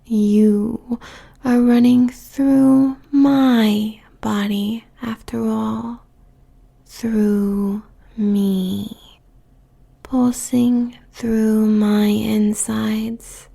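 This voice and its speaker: A woman playing a possessive, seductive succubus demon queen in a breathy, teasing whisper, as an intimate audio roleplay. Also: fairly high pitch, level tone, warm tone, full character voice. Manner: slow, teasing, drawn-out phrases.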